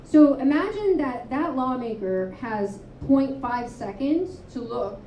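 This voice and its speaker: A woman author and advocate speaking candidly at a live panel, telling stories with quick asides. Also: high-pitched, a little hiss or hum. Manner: candid, storytelling, animated asides. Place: live event venue, indoors. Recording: roomy, distant, dull live-room sound.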